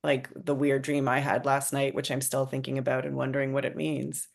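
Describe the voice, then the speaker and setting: Woman writer-director, very deep register, talking informally and thoughtfully about creative work. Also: little pitch movement, a little fast, vocal fry. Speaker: screenwriter and director. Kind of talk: casual discussion after a script table read.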